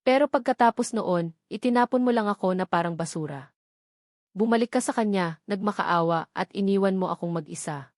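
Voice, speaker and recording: A woman's voice: mid-range voice, a little fast, no echo, studio quality, natural voice. A woman voicing a bitter, vengeful scorned woman in an audio love drama, taunting, accusing and coldly threatening. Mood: bitter, hurt. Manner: taunting, accusing, switches into English phrases.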